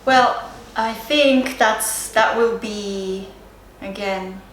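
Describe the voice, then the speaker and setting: A woman from Japan with a Japanese accent, a native Japanese speaker giving reflective, unscripted interview answers, hesitant and searching for words with frequent pauses. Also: mid-range voice, fairly bright tone. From Sendai area, Japan. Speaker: interviewee, yoga and Japanese teacher. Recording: roomy echo, clear background noise.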